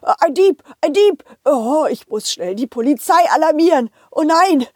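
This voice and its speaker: Panicky museum guard, played by a woman: shrill high pitch, wildly animated, flustered stammering, children's audio story. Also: a little slow, no echo, studio quality. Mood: panicked. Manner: stammers and repeats in alarm.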